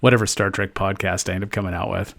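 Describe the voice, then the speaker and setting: A man with a low, gravelly voice chats casually as a podcast co-host. He swears freely, jokes, and drifts into fillers and restarts. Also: little pitch movement, fast, a bit bright. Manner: profane, joking, trailing off into fillers. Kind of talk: casual chat.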